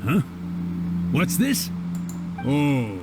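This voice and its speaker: A scheming game spirit, sardonic and theatrical. His voice sits on the high side, swings widely and lingers over phrases at a very slow pace. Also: wildly swooping pitch, over loud music, heavily acted. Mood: gloating. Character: theatrical male summoned spirit of a scheming writer. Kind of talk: video game voice acting.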